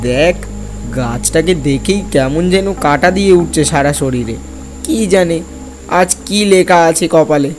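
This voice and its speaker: A man's voice: a little high, measured pace, soft background music, lightly acted. A man playing a timid, superstitious villager in a horror cartoon, speaking fearfully and hesitantly. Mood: frightened.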